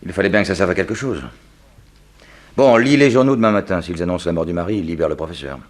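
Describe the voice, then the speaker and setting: An actor playing a ruthless, cold spy, curt and menacing, in a 1960s French radio drama. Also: male voice, low-pitched, fast talker. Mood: cold, calculating. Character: ruthless male German spy and cold schemer.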